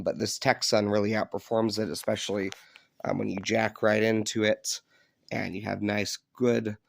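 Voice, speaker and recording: A man with a fairly low voice and a strong creak presents a hobby demonstration. He talks casually and off the cuff, in a low-key, hesitant way. The sound is dry, with very little room on it. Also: dry recording. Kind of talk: casual unscripted product demonstration. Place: indoors in an upstairs room.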